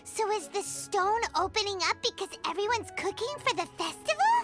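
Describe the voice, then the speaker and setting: Very high, squeaky fairy sidekick. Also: female voice, big pitch swings, moderate pace. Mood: curious. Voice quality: squeaky, childlike. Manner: speaks of itself in third person, exclamatory. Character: tiny excitable floating fairy companion, childlike female. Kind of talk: English video game voice acting. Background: playful children's-style game music.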